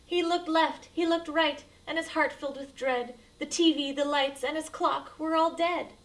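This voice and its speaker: A woman's voice: high-pitched, steady tone, normal speed, fairly bright tone, recorded from a distance. A woman reading a rhyming picture book aloud to children as a storyteller, with dramatic, emphatic delivery.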